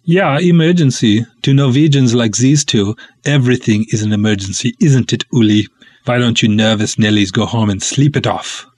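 A man's voice: medium pitch, moderate pace, darkish tone, no room sound, close to an everyday voice. A man voicing lazy, mocking cops in a comic serial. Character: sneering, lazy Danish male cop duo, mocking.

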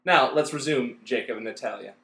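A man plays a pedantic commentator on an old radio play. His mock-documentary delivery is bright, and the room around him echoes. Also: mid-range voice, fairly quick, vocal fry, voice pushed down. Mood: dry, pedantic.

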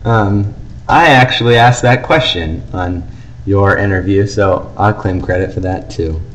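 A man's voice: low-pitched, unhurried, a bit bright, a little hiss or hum, poor audio. A man co-hosting a fan podcast in casual, easygoing conversation. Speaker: podcast co-host.

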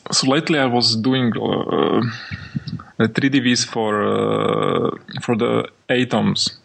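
A young man from Slovakia with a Slovak accent, a podcast guest answering questions in halting English and searching for words. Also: fairly low pitch, creaky, a bit bright. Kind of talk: podcast interview.

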